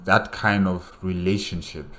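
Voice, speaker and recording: A man's voice: low voice, fairly even intonation, measured pace, a bit bright. A man hosting a reaction video, talking casually with lots of fillers and repeated words. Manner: rambling, many fillers and repeats.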